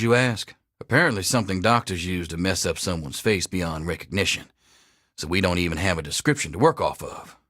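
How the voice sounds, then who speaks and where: A man's voice: deep voice, bright, forceful tone, slightly rough, no echo, clean studio sound, exaggerated voice. A man playing a blunt, impatient, hard-bitten mercenary in a video game audio log. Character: gruff hard-bitten male wasteland mercenary giving orders.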